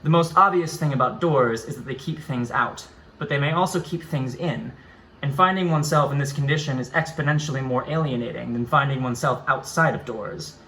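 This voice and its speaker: A man reading his own surreal prose in an even, deliberate, philosophical way. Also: medium pitch, a little hiss or hum, rough recording. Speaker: writer.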